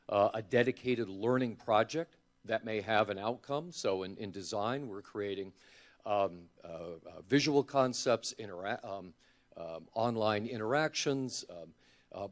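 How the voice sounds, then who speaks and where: A man making a halting, thoughtful comment in academic language during a conference discussion. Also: fairly low pitch. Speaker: audience member, design educator.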